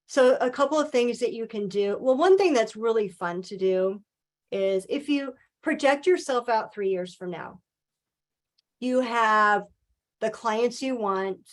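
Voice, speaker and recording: A woman speaking as a guest in a webinar interview, talking calmly and earnestly in a coaching tone, with the odd "um". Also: on the high side. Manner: calm, earnest, coaching tone with filler ums. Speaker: guest speaker, author and financial advisor. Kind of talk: webinar interview, motivational talk.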